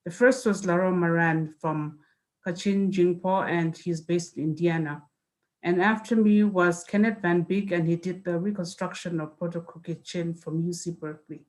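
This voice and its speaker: A woman whose first language is Mizo speaks in a somewhat low voice over a video call. She introduces herself in a lecture, pausing often with fillers and restarts. Her delivery is unhurried and thoughtful. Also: steady tone, rough recording. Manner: hesitant, many fillers and restarts. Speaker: guest speaker, linguist.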